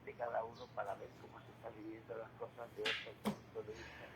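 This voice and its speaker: Older guy doing a radio monologue, lowish voice, stops and repeats himself, pretty noisy recording. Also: monotone, a bit bright. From Spain. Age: 60s or older. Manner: halting, repeats words, rhetorical questions. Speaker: retired regular contributor giving commentary. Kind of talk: reflective spoken monologue on local radio. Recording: clearly noisy radio feed.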